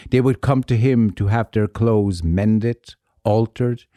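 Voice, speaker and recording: A man narrating a folk tale as a storyteller, measured and theatrical, with deliberate pauses. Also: on the low side, mellow, no room sound, studio quality. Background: music under the voice.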